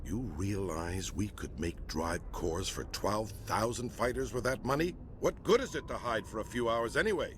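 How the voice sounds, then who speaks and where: An actor playing a gruff, disapproving middle-aged admiral in a video game, blunt and stern in the way he talks. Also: fairly low pitch, animated, normal speed, few pauses, a little hiss or hum, natural voice. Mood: critical, exasperated. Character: gruff, disapproving senior space navy admiral inspecting a warship.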